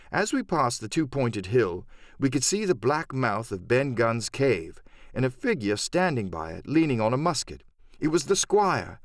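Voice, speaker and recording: A man narrating a classic adventure audiobook in an expressive, dramatic storytelling manner. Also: mid-range voice, no room sound, clean studio sound. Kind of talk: dramatic scripted literary narration.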